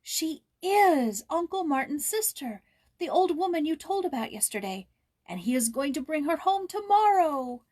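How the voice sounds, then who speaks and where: Woman voicing an eager, excited young storybook boy. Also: sits high, moderate pace, pitched above the performer's own voice. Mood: bursting with excitement. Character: excited bedridden young boy bursting with happy news. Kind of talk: children's storybook character voice.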